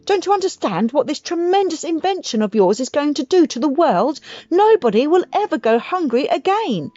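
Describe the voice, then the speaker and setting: An impatient, wildly excited father, as a woman reader puts him on, pitched high and swooping with urgency. He exclaims his grand schemes in storybook style, on a slightly muffled recording with music. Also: normal speed, no echo. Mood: exuberant. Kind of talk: audiobook character voice for children's story. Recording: muffled audio with music behind.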